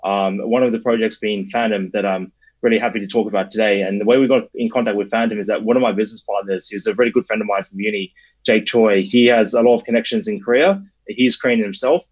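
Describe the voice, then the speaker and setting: A man's voice: fairly low pitch, steady tone, fast, husky, muffled recording. A young man with an Australian accent giving conversational answers as an interview guest. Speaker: interview guest, startup adviser.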